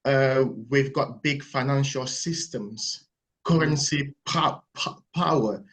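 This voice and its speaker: A man's voice: medium pitch, slow, a bit bright. A man from Cameroon making a thoughtful, hesitant point in an online church webinar, often restarting words. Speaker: webinar participant. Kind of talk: online discussion contribution. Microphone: video call.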